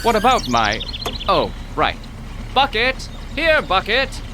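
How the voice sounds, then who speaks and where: A nervous, fussy professor, played by an actor, speaking in a formal, old-fashioned way. His voice jumps high when alarmed, with clear background noise behind him. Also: wildly swooping pitch, takes it slowly, heavily acted. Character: fussy, cautious male professor.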